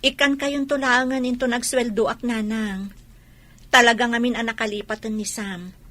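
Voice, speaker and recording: A woman's voice: fairly high pitch, fairly even intonation, moderate pace, fairly bright tone, dry recording, lightly acted. An actress with a Filipino accent playing a respectful, troubled young daughter-in-law in a radio drama, pleading as she explains. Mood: pleading, polite.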